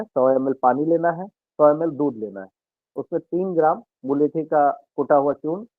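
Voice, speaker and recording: A man, an Ayurveda health expert, calmly explaining home remedies and speaking directly to listeners on a radio health talk. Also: a little high, no room sound. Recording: narrow dry radio broadcast sound.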